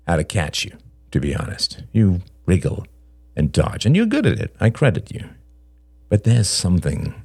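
Guy playing a menacing dad in an audiobook, real low and slow, cold, accusing, full of contempt. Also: gravelly, a little mellow, breathy edge, no room sound, exaggerated voice. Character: menacing, cold, aggrieved father confronting a rival.

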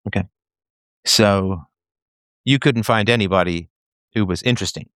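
A man's voice: fairly low pitch, normal speed, fairly bright tone, clean tone, dry recording. Dry-sounding man pressing with questions. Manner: questioning, pressing with logical follow-ups. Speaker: host interviewing a caller. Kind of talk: probing conversational interview.

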